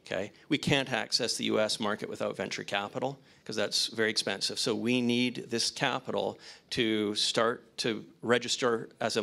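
A man pitching his startup, answering panel questions on a handheld mic in a conference room, rambling with frequent restarts. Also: creaky, sharp tone.

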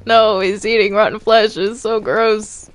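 A woman's voice: medium pitch, flat delivery, measured pace, no echo. A woman chatting casually in character during gameplay, exclamatory and prone to complaining. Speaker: gamer in roleplay video.

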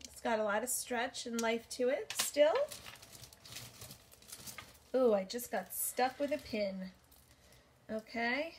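Woman in her 40s, higher voice, very slow and unhurried, lots of ums, bedroom haul chat. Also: slight background noise. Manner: unhurried, thinking aloud with many ums. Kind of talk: casual show-and-tell haul video. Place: bedroom, indoors. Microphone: camera at desk distance.

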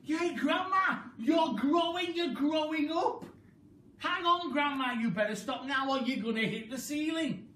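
A woman's voice: on the high side, expressive, takes it slowly, pitched above the performer's own voice. A woman voicing a young boy in a storybook, calling out in eager, pleading exclamations. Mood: excited, anxious. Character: young boy, excited and worried. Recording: roomy, distant mic, faint hiss.

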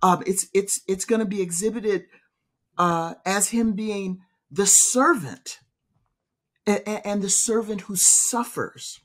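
A woman's voice: mid-range voice, slow pace, a bit bright. Middle-aged woman, professor co-host, preacherly emphasis, home-office webcam sound. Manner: emphatic, preacherly, gestures while talking. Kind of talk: casual theological discussion. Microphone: video call webcam.

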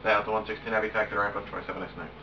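A young American man plays air traffic controller at home in clipped radio phraseology and casual muttered asides. His voice is on the low side and very quick. The recording is heavily noisy. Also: level tone. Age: young adult. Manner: casual, swears when thinking aloud. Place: small home room, indoors. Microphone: camera on desk a step away. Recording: muffled, noisy webcam audio.

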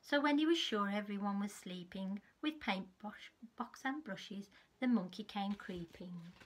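A woman in her fifties reads a children's story in a measured, expressive way, with a slightly husky voice. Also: mid-range voice. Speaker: teacher reading a storybook to young children. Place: small furnished room at home, indoors.

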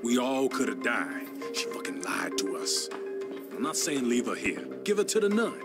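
Street-tough, hot-tempered man with swooping pitch. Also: sing-song, moderate pace, creaky, loud music behind the voice. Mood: bitter, distrustful. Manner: profane, confrontational, distrustful. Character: angry, cynical, street-tough male survivor. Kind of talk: video game cutscene acting.

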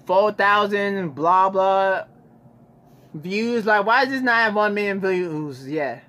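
A man's voice: sits high, takes it slowly, bright, forceful tone. A young man chatting casually as a reaction video host, informal and exclamatory, recorded on a phone in a bedroom. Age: young adult. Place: small bedroom, indoors. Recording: noisy home recording.

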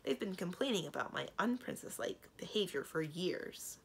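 A woman voicing a strong-willed young storybook princess who speaks in a brisk, emphatic, lecturing way. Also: lowish voice, animated, a little hiss or hum. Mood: insistent. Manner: emphatic, lecturing, matter-of-fact. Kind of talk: storybook character dialogue.

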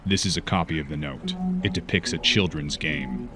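A man's voice: rumbling low, moderate pace. A man voicing a brooding, stern masked vigilante in a comic fan dub, terse and grave. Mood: grim, commanding. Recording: music bed behind.

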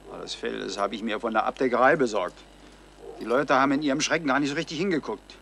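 A man's voice: mid-range voice, fast talker, gravelly, fairly bright tone. An actor playing a shifty, down-on-his-luck dog thief under questioning in a radio crime drama, evasive and self-justifying. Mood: confessing. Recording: slightly noisy 1970s radio recording.

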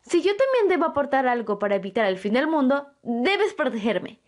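A very high, lively voice for an excitable young girl played by a woman in an anime-style roleplay. She gushes and giggles, bold and bubbly, with big swoops in pitch. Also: moderate pace. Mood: bossy, coaxing. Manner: gushing, giggly. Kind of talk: amateur anime-style audio roleplay acting.

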